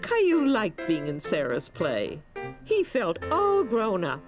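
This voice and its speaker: A woman narrating a children's cartoon as a gentle storyteller, with music behind her. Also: mid-range voice, huge pitch swings, takes it slowly. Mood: storytelling. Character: gentle female storyteller narrating a small boy's day.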